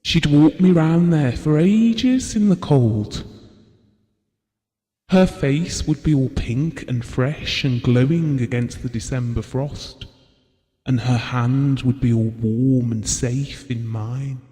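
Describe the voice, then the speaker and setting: Actor as a tender, wistful husband, mellow and reverberant. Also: male voice, mid-range voice, takes it slowly, lightly acted. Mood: fond, wistful. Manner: tender, reflective storytelling. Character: devoted, wistful, estranged husband reminiscing about his marriage. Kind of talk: intimate audio drama monologue.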